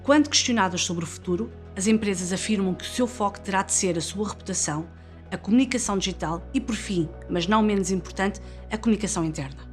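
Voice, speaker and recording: A woman's voice: mid-range voice, fast. A woman narrator with a European Portuguese accent delivers a scripted business report. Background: music.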